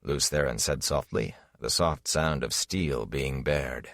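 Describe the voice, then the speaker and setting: A man narrating a fantasy audiobook in a solemn, measured, dramatic style. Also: extremely low pitch, gravelly, a bit bright, dry recording, clean studio sound.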